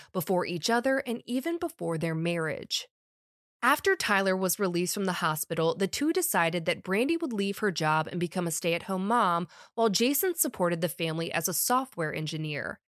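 A woman's voice: mid-range voice, dry recording, studio quality. A woman podcast host with a summer cold, reading a scripted true crime story in a wry, conversational way.

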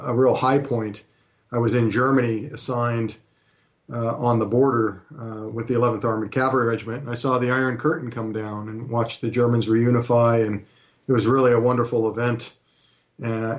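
Measured, even-toned man in his fifties. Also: medium pitch. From California. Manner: measured, frequent hesitant "uh" pauses. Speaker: interview guest, retired army colonel and history professor. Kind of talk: reflective interview answers.

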